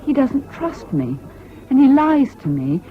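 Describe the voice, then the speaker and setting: A woman's voice: sits high, very animated, unhurried. An actress playing an emotional, proud young Englishwoman in wartime in a 1970s British radio drama. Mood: distraught, bitter. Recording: dull old radio recording, music behind.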